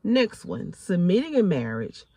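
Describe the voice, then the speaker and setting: A woman's voice: on the low side, wildly swooping pitch, unhurried, dark tone, breathy edge, dry recording. Emphatic Southern woman preaching to camera. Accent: Southern American. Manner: emphatic, repeats phrases for stress, preacherly; calls listeners honey. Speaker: faith and lifestyle vlogger. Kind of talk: casual faith talk to camera.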